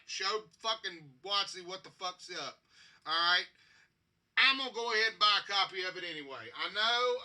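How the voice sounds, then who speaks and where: A man's voice: high voice, bright, forceful tone. A man talking casually and ramblingly to his viewers as a tabletop gaming hobbyist, blunt and prone to swearing. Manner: blunt, salty, frequent swearing.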